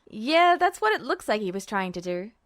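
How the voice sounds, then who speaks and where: A woman voicing a brash, irritable young male alchemist in a podfic reading, defensive and quick. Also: medium pitch, sing-song, a bit bright, dry recording, studio quality. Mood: huffy.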